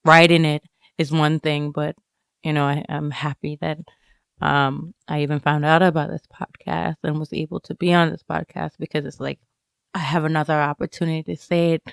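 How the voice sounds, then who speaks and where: Hesitant, reflective woman with a creaky voice. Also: low-pitched, flat delivery, moderate pace, no room sound. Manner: hesitant, searching for words, reflective. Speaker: interview guest, student writer. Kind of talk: candid personal interview conversation.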